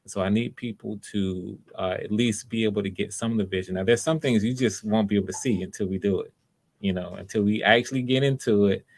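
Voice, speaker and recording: Guy w a low voice, casual audition host, upbeat and encouraging, chatty. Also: a little fast. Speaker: host of online voice auditions, casting for a project. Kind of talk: casual, conversational hosting.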